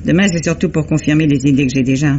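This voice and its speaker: Actress as knowing female sleuth, self-assured and deliberate, vintage French radio play, muffled with faint hiss. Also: low voice, fairly even intonation, warm tone, close to an everyday voice. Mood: brisk, assured. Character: shrewd, self-assured lady investigator named Mathilde. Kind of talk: old-fashioned French radio drama acting. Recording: dull, faintly noisy old radio recording.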